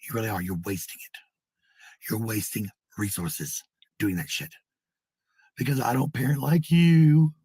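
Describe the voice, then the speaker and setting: A man ranting informally in a raspy voice, combative and sweary, repeating points for emphasis. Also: mid-range voice, measured pace, long pauses, dark tone, no room sound. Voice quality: husky, raspy. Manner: combative, profane, repetitive. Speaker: parent speaking in a clip. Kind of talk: angry informal rant.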